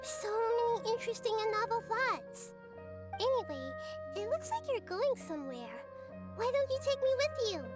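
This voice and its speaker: A woman voicing a gentle, wise, childlike girl deity in a video game, speaking thoughtfully and articulately. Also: very high-pitched, big pitch swings, moderate pace, over loud music. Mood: playful, curious.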